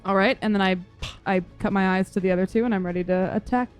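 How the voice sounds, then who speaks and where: A woman's voice: medium pitch, fairly even intonation, fast talker, smooth, soft background music. A woman playing a tabletop game, casually chatting and describing what her character does.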